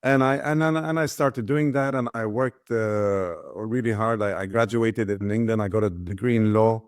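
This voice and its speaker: A man's voice: lowish voice, steady tone, moderate pace, no echo, studio quality. Reflective middle-aged man from Ghana. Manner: thoughtful, with frequent restarts and repeated words. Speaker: guest, business founder and author. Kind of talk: reflective personal storytelling.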